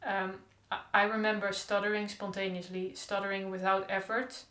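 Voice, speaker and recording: A woman's voice: medium pitch, level tone, normal speed, bright, forceful tone. A young woman who stutters openly with repeated sounds, talking in a casual diary-style vlog. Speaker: stuttering vlogger. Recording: echoey room.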